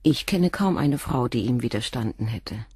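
An actress playing a composed middle-aged doctor's wife and former nurse, speaking frankly and in a measured way in a radio drama. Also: female voice, very deep voice, expressive, darkish tone, breathy edge, no echo, studio quality, full character voice. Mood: candid. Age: 40s. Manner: measured, frank, controlled confession. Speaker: witness questioned by the inspector.

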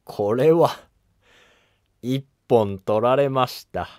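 A man's voice: medium pitch, very slow, a little mellow, clear, resonant tone, dry recording, clean studio sound. A man voicing a shrewd Buddhist monk adviser who asks polite, insinuating questions in a historical drama animation. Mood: shrewd, probing.

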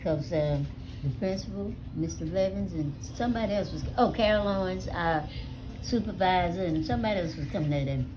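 An elderly American woman telling stories casually to family, with a salty, animated manner, recorded on a phone at home. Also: lowish voice, a little fast, background noise. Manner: animated, salty, acts out dialogue with swearing. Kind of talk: casual storytelling among family. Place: small living room at home. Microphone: phone held near her.

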